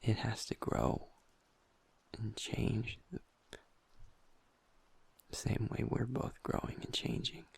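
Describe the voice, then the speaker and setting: A man voicing a gentle young werewolf boyfriend in an intimate audio roleplay. He talks softly and close to the mic, often half-whispering, and pauses and restarts as he confides. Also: lowish voice, level tone, vocal fry, husky, full character voice. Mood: vulnerable, confiding. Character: gentle young male werewolf boyfriend, usually upbeat, now downcast.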